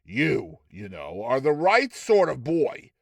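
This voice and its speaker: A man's voice: mid-range voice, wildly swooping pitch, slow pace, gravelly, no echo, full character voice. A man voicing an affable, uncanny shopkeeper and conjurer in a storybook reading, courteous and emphatic in a theatrical way.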